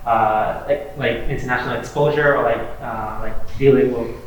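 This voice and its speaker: A man's voice: mid-range voice, steady tone, normal speed. A man in his twenties from Hong Kong chatting in a group discussion, filling his speech with "like.". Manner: chatty, full of like fillers. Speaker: panel guest. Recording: echoey room, distant mic, faint hiss.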